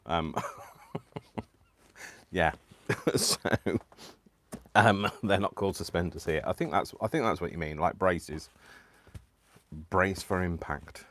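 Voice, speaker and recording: A man's voice: low-pitched, slow, pauses often, a bit bright. A British man hosting a live crafting stream, rambling casually to viewers with lots of ums, small laughs and self-deprecating asides. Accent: British English. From United Kingdom. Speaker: crafting livestream host.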